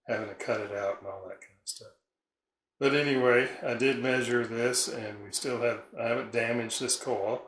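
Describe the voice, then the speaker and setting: A man giving a casual hands-on repair demonstration, thinking aloud in a halting way as he works. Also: on the low side, fairly bright tone. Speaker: radio repair hobbyist and narrator. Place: indoors at a repair bench. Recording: roomy echo, background noise, small clicks.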